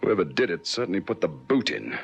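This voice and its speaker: Deep-voiced police sergeant. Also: male voice, big pitch swings, fairly quick, creaky, loud music behind the voice, full character voice. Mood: watchful. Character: dry, seasoned male police detective sergeant on night patrol. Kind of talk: old radio drama acting. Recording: noisy vintage radio recording with car sounds.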